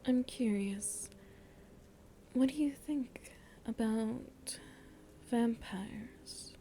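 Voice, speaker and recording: A woman playing a seductive, motherly vampire in an ASMR roleplay, speaking in a hushed, breathy, coaxing way with quiet menace, close to the mic. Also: a little high, extremely slow, a little hiss or hum. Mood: soothing, seductive. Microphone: close microphone.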